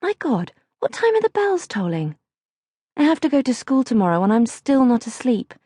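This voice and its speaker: Woman playing young girl, audiobook diary, high swooping pitch, plaintive, questioning, indignant, very dry sound. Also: darkish tone, no room sound, exaggerated voice. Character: anxious, indignant, inquisitive eight-year-old girl. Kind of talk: acted audiobook diary reading.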